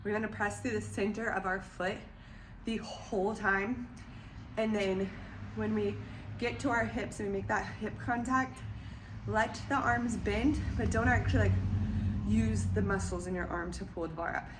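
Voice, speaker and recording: A woman's voice: medium pitch, steady tone, normal speed. A young woman with a slightly nasal, congested voice, giving casual lifting tips in a home garage between sets and a bit winded. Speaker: vlogger and former weightlifter. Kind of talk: casual training vlog with coaching tips. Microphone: camera set up away from her. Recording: echoey garage from a distance with noise.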